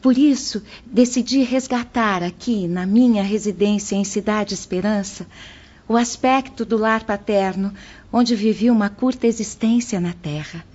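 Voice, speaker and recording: Woman acting a slow, gentle young spirit hostess. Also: mid-range voice, lo-fi sound, natural voice. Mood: gracious, tender. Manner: gentle, gracious, unhurried. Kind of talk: dramatized spiritist audiobook.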